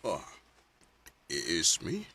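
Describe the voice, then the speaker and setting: A man's voice: low-pitched, wildly swooping pitch, extremely slow, vocal fry, bright, forceful tone, slightly rough, dry recording, heavily acted. An actor with a Cebuano Filipino accent playing a casual, generous, well-off man in a radio drama, mixing in English phrases. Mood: casual, inviting. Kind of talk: Bisaya radio drama acting.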